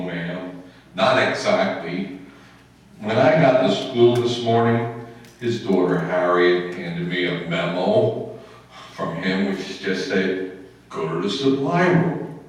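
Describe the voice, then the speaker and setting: A man acting a slow-witted, good-natured high school student with halting, ungrammatical speech in a radio comedy. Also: expressive. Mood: dopey, earnest. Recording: very echoey, distant room recording.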